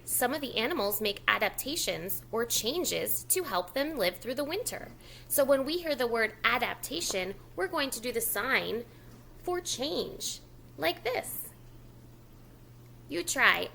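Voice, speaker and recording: A woman reads a picture book aloud as a lesson for small children. Her voice sits fairly high and moves with lively swings, often dropping into creaky fry. She explains simply and instructively, with faint noise behind her. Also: a little slow. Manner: instructional, addressing young children, repeating key words with prompts and praise. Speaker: preschool educator reading a picture book to children. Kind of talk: children's read-aloud lesson with call-and-response prompts.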